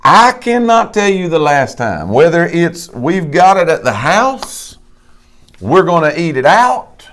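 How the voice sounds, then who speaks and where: Male co-host, lively and emphatic, swooping pitch, slightly high. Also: unhurried. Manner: animated, folksy preacher-like emphasis. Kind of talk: casual live show chat.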